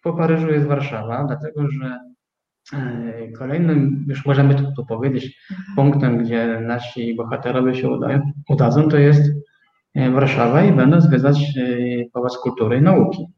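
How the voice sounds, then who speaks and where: Male interview guest, mid-pitched, halting explainer, roomy and slightly muffled recording. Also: steady tone. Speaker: guest, start-up co-owner. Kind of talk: interview answer about own business. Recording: roomy, slightly dull sound.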